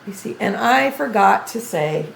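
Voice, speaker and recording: An older woman streaming a video game tutorial, chatty and thinking aloud as she plays, her voice going a bit hoarse. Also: medium pitch, expressive, a little slow, roomy sound, low-quality recording. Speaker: gaming streamer. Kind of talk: game tutorial livestream commentary.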